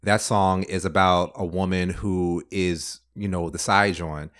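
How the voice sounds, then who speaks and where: A man's voice: low voice, steady tone, a little slow, fairly bright tone. A man in his thirties chatting casually as a podcast guest, laid-back, with frequent fillers and laughter. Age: 30s. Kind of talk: casual chat.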